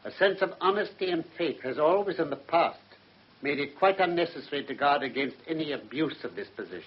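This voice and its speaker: An actor playing a stern, dignified judge with an English accent, speaking formally and severely in a radio drama. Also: male voice, fairly high pitch, expressive, brisk, breathy edge. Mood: accusing. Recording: muffled old radio recording.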